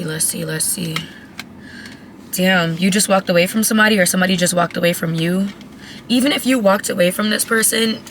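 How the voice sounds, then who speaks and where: A woman who has lost her voice, raspy and cracking, giving a chatty, blunt tarot reading to her viewers. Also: on the low side, a bit bright, slight background noise. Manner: chatty, blunt, swears casually. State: hoarse, voice cracking. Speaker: tarot reader. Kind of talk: casual tarot reading to camera.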